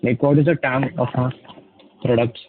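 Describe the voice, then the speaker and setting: A man's voice: mid-range voice, steady tone, normal speed. A polite man with an Indian accent, stumbling a little as he asks an unscripted question as a caller on an earnings call. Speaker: investor caller asking a question. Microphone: phone into conference call. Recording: dull lo-fi phone line.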